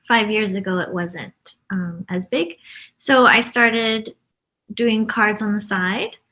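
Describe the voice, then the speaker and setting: A woman's voice: mid-range voice, takes it slowly, sharp tone. A woman who grew up in Brazil, the US and Japan, talking in a chatty, informal way as an interview guest. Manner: chatty, informal, laughs easily. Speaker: interview guest, stationery shop co-owner. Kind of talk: casual conversational interview.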